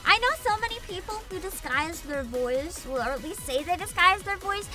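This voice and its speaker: A woman with a very high voice that swoops up and down as she chats to her livestream viewers. She rambles in a giggly, casual way and swears freely. Music plays clearly behind her. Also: lively intonation, normal speed. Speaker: VTuber livestreamer.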